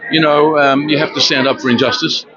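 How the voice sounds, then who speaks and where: An older man born in Romania, giving an earnest street interview at an outdoor protest, speaking haltingly with many fillers. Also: on the high side, fairly even intonation, a loud crowd. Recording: dull lo-fi with loud outdoor noise.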